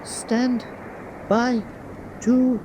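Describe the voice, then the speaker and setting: An actor playing an anxious, dutiful young U-boat lieutenant in a radio drama, earnest and respectful. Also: male voice, very high-pitched, level tone, extremely slow, background noise, heavily acted. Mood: uneasy, dutiful. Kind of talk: vintage-style radio drama acting.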